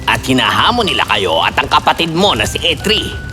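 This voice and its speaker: A man's voice: medium pitch, very animated, normal speed. A man playing a sly, mischievous trickster god in a fairy tale, with theatrical, scheming delivery. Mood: sly, coaxing. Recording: loud background music.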